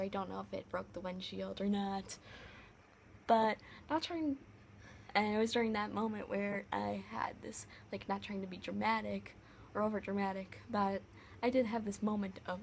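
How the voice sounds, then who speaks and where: Young woman vlogger, high voice, chatty, lots of "like", faint hiss behind her. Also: vocal fry. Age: young adult. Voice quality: high-pitched voice. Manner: chatty, many "like" fillers, animated retelling. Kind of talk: casual storytelling to camera.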